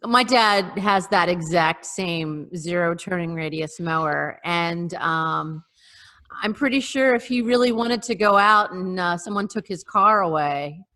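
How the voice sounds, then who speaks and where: A middle-aged woman talks casually on a panel over a video call. Her voice sits a little low, and she gives her opinions in a sardonic manner. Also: lively intonation, a little slow, sharp tone. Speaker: podcast panelist.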